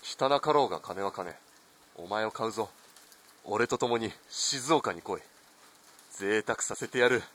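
A man's voice: on the low side, a little slow, long pauses, fairly bright tone. Man acting an arrogant, blunt army officer. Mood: smug, commanding. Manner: haughty, commanding, blunt. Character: arrogant, corrupt army lieutenant colonel, callous. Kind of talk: radio drama acting.

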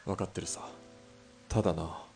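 A man playing a calm, loyal-hearted hired killer in a 1920s Japanese radio drama, speaking quietly in terse, composed lines. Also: normal speed, faint music behind, lightly acted. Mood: resolute.

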